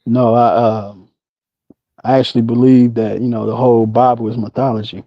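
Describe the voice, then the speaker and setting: American guy calling in to debate, needling questions, muffled lo-fi call audio, average pitch. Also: flat delivery, normal speed, no room sound. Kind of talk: casual debate, pointed questioning. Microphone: online voice call. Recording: dull lo-fi online call.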